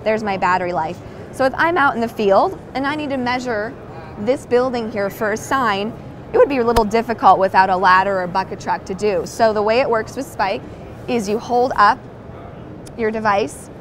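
A woman's voice: fairly high pitch, normal speed. A woman in her thirties giving an upbeat product demonstration at a trade show booth on a lapel mic. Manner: upbeat, clear sales presentation. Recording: trade show floor noise behind.

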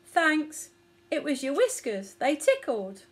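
Woman as a high, childlike baby elephant. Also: wildly swooping pitch, takes it slowly, heavily acted. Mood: nervous, apologetic. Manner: hesitant, naive, childlike. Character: innocent, timid, polite baby boy elephant.

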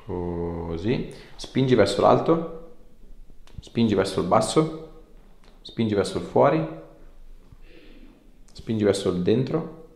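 A man in his thirties with a low, creaky voice. He talks a patient through a hands-on treatment in short, informal instructions. The small clinic room echoes around him. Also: expressive, slow pace, long pauses, darkish tone. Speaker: chiropractor.

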